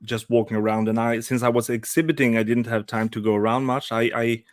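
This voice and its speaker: A man's voice: mid-range voice, little pitch movement, fairly quick, no room sound, studio quality. A man in his forties, a guest on a live stream, chatting casually with frequent restarts and hesitations. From Sweden. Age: 40s. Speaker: guest, toy customizer.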